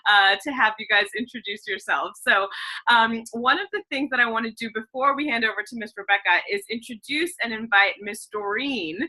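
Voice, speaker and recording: Encouraging lady hosting a class video call, really bright voice, a bit high. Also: poor audio. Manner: warm, encouraging, teacherly. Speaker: host of a virtual class meeting.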